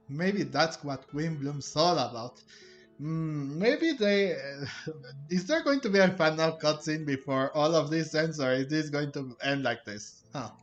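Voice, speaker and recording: A man giving casual let's play commentary over a game, musing and hesitant as he reacts. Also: a little high. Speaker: gamer, let's play host. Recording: dull lo-fi mic over game music. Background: game music.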